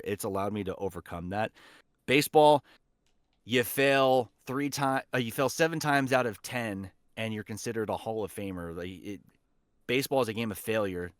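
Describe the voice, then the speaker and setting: A man's voice: lowish voice, dry recording, clean studio sound. A man speaking as an interview guest and voice acting teacher, giving encouraging, emphatic advice in a conversational way. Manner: encouraging, emphatic with repeated key phrases. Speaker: voice actor and teacher, podcast guest.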